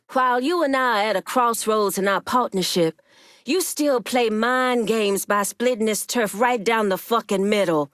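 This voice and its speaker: Woman acting tough haitian lady gang boss for a video game, blunt n bossy, streetwise, mid pitch, super dry sound. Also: no room sound, lightly acted. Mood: angry, threatening. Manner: blunt, streetwise, commanding, swearing.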